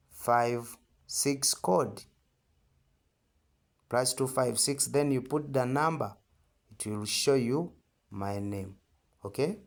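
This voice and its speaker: A man's voice: medium pitch, gravelly. A man with a Ugandan accent giving an informal step-by-step tutorial, emphatic and repetitive, often checking in with short questions. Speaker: trading tutor.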